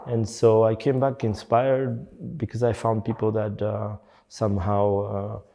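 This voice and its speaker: Hesitant middle-aged man, dark voice. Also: on the low side, little pitch movement, slow, gravelly. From Belgium. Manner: hesitant, many fillers and restarts. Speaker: architect and teacher. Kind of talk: reflective interview answer.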